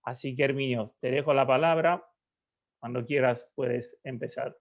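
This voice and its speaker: A man moderating an academic event, introducing a guest speaker over a video call in a halting way full of fillers. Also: medium pitch, fairly even intonation. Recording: dull, muffled call audio.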